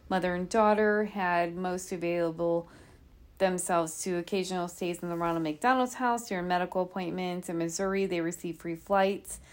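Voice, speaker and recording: A woman hosting a podcast, telling a true crime case in an informal, conversational way with asides and rhetorical questions. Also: on the low side. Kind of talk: conversational storytelling from notes.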